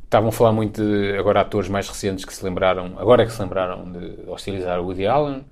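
A man's voice: sits low, fairly even intonation, quick pace, creaky, a little mellow. A man in his thirties or forties with a European Portuguese accent, chatting casually and colloquially about films, with swearing and tag questions.

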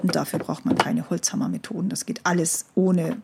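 A woman's voice: on the low side, level tone, brisk, creaky, very noisy. A woman playing a persuasive promoter of motivation schemes, with scripted narration in a radio drama. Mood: upbeat, persuasive. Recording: loud music, echoey sound.

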